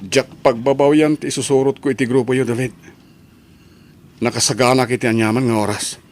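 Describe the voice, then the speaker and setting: A man's voice: mid-range voice, steady tone, fairly quick, natural voice. A man playing a stubborn young son in a family radio drama, speaking insistently and emphatically. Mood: eager. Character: stubborn, restless young son desperate for money.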